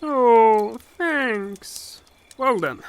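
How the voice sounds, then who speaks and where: Woman acting a very slow, shy companion. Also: fairly high pitch, animated, full character voice. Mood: bashful. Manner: hesitant, drawn-out. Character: shy, hesitant fantasy traveling companion. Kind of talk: audio drama dialogue. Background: crickets and night insects.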